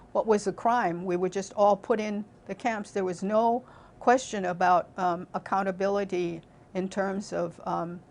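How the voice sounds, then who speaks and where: A woman in her eighties giving thoughtful recollections in a documentary interview, with small hesitations. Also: medium pitch. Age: 80s.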